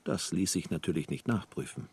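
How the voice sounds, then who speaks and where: A man's voice: deep voice, fairly quick, darkish tone, slightly rough. An actor playing a subdued male narrator who reports rumours in a radio play.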